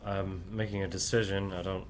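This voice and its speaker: Middle-aged guy rambling at home late at night, lowish voice, keeps saying right?, a bit of hiss in the background. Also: little pitch movement, brisk. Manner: rambling, repeats "right?" often; keeps voice down while family sleeps. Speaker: channel host. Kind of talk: late-night monologue to camera.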